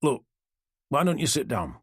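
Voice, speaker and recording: A man's voice: big pitch swings, moderate pace, fairly bright tone, husky, no echo, studio quality, exaggerated voice. A man voicing a male debate moderator who firmly asks an unruly crowd to settle. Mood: placating. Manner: firm, placating, booming. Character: male debate moderator, booming, trying to keep order.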